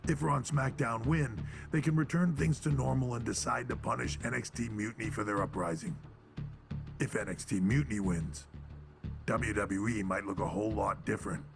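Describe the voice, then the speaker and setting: An actor playing a firm, measured wrestling executive making a formal, deliberate announcement in a video game. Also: male voice, fairly low pitch, creaky, over music. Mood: formal, resolute. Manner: deliberate, official.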